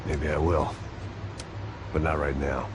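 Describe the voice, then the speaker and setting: A man's voice: very deep voice, level tone, measured pace, noisy background. An actor playing a world-weary male ex-cop bodyguard in a video game, terse and sardonic. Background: music score.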